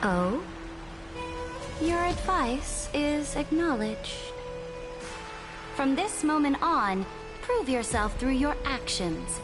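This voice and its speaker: Imperious game empress, condescending, regal, high pitch, unhurried delivery, clear music behind. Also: female voice, expressive. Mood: regal, authoritative. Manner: grand, measured, condescending. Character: imperious, haughty female emperor, regal and commanding. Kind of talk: dramatic video game voice acting.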